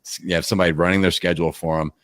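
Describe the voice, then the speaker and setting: A man with a very deep, low voice chats as an interview guest, energetic and rambling with plenty of fillers. The sound is dry and close, with no room echo. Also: rapid-fire. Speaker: podcast guest, fitness coach. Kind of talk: casual interview chat. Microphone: video call.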